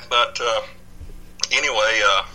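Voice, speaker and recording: Bright-voiced older man, rambling. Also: mid-range voice, slow, rough recording. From Dallas, Texas. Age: 60s. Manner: rambling, many fillers and restarts. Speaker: podcast guest, researcher. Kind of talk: casual interview.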